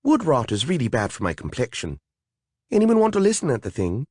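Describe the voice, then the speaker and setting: A man voicing a vain, petulant tiny female fairy with a whiny, high, squealing voice. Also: wildly swooping pitch, fairly quick, darkish tone, slightly rough, dry recording, clean studio sound. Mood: flippant.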